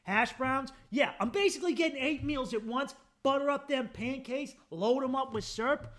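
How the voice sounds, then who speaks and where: A man's voice: very high-pitched, normal speed, fairly bright tone. A man hosting a podcast, riffing through a profane, mock-outraged comedic rant. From United States. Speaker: podcast host.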